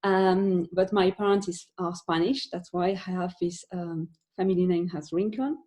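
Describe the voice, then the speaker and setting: A woman's voice: fairly low pitch, steady tone, normal speed, a little mellow. A woman from France with a French accent, presenting a talk from home for an online webinar, with many fillers and restarts. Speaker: conference speaker.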